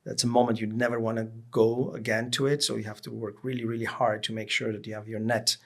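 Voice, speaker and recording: A man in his late forties answers interview questions in a low register. His voice has a lot of fry in it. He talks reflectively, pausing to search for the right phrase. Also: level tone, a bit bright. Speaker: interview guest, business executive.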